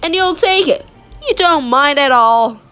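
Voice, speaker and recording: A woman with a high-pitched voice reads a children's story aloud. Her delivery is expressive and animated, with dramatic emphasis on key phrases. Faint music plays behind her. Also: measured pace. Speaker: storybook reader. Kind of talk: expressive read-aloud of a children's picture book.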